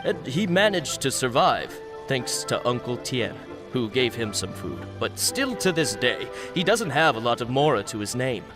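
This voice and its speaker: A nervous fishmonger at a wharf in a video game, voiced by a man, defensive and quick to stammer. His voice sits in a plain middle pitch, and he hurries to protect his business. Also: sing-song, over music, natural voice. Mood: explaining.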